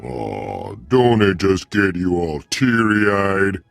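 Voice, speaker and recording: A man's voice: low-pitched, big pitch swings, takes it slowly, vocal fry, no room sound, faint music behind, heavily acted. A man acting a sadistic, mocking thug in a video game, with slangy, taunting speech. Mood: gleefully cruel. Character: sadistic, mocking male thug who relishes violence.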